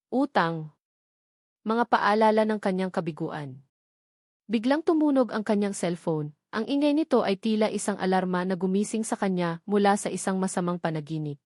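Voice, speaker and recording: A woman's voice: mid-range voice, darkish tone, no echo, studio quality. A woman reading scripted dramatic story narration.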